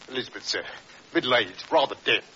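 An actor playing a chatty, deferential police constable in an old radio drama, brisk and respectful. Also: male voice, mid-range voice, lively intonation, bright, forceful tone. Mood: eager, respectful. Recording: muffled vintage radio, faint hiss.

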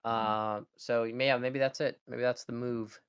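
A man's voice: medium pitch, little pitch movement, measured pace, clear, resonant tone. A young man co-hosting a podcast, chatting casually in a rambling, sarcastic way with frequent restarts. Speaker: podcast co-host. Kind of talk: casual chat.

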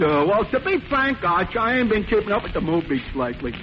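Through a muffled, hissy vintage radio recording comes a dim-witted, amiable fellow. His voice sits high, and he stumbles along dopily, repeating himself in broad 1940s comedy fashion. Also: big pitch swings, a little fast. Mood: earnest, foolish. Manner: dopey, hesitant, repeats himself. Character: dim-witted, good-natured slow-thinking male barfly.

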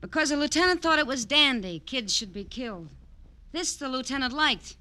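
Brittle, mocking femme, very sharp, highly animated, theatrical radio crime style. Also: female voice, moderate pace. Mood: bitter, vengeful. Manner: sardonic, theatrical. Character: bitter, brittle, mocking hard-boiled dame. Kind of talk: old-time radio crime acting.

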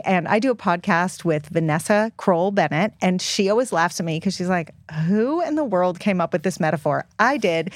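A woman's voice: medium pitch, no room sound. A middle-aged American woman speaks as an expert guest, explaining in a conversational, plain-spoken way. She leans on key points and repeats them for emphasis. The sound is dry, with very little room. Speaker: guest expert and author on puberty.

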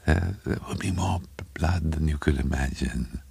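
A man's voice: vocal fry, darkish tone, breathy edge, heavily acted. Breathless, stammering little madman. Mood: muttering, insistent. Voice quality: low, breathless, bubbling impediment, sometimes dying away. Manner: stammering, halting, confiding. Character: small bearded madman, conceited, furtive, muttering.

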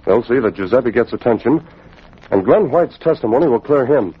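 A composed American hero, crisp and educated, with wry humour. His medium-pitched voice moves expressively in vintage radio-drama style, on a dull recording. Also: animated, normal speed. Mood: decisive. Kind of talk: old-time radio drama acting. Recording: muffled, noisy old radio recording.

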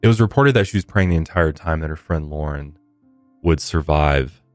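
A man with a remarkably deep voice narrates a true crime case, stopping now and then for earnest, measured reflection. The sound is close and dry, with no room behind it. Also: dry recording, faint music behind. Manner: earnest and measured, with occasional fillers. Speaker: podcast host.

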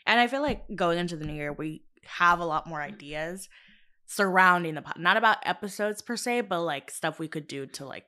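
A woman's voice: on the low side, animated, normal speed, sharp tone. A young woman co-hosting a casual podcast, chatty and upbeat and laughing often. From Southern California. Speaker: podcast co-host. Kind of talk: casual chat.

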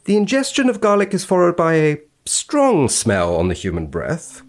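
A man's voice: fairly high pitch, huge pitch swings, normal speed. A man, a researcher appearing as a podcast guest, reading studies dramatically and chatting about them.

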